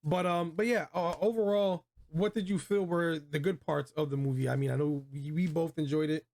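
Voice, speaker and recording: A man with a fairly high voice chats as a guest on a review. He is easygoing and agreeable, talking the way friends do. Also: fairly even intonation, moderate pace, darkish tone. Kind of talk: casual chat review.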